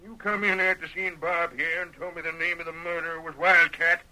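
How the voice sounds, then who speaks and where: On a tinny old radio recording, a crusty old Western patriarch. His voice is very bright and forceful, set fairly high, with a folksy American Western drawl. He sounds shrewd, bossy and cantankerous. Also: level tone. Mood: confiding. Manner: folksy, cantankerous, deliberate storytelling.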